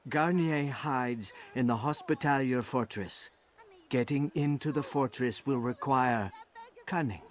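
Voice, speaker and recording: Male actor as smug rival assassin, medium-pitched, sarcastic, condescending, grudgingly helpful, video game dialogue. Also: unhurried, natural voice. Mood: smug, begrudging. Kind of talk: video game character acting.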